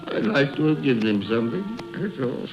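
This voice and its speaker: A man's voice: medium pitch, very animated, fairly quick. An actor playing a frightened, remorseful old miser in a radio drama, exclaiming, stammering and repeating his words. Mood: wistful, regretful. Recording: muffled radio broadcast with music underneath.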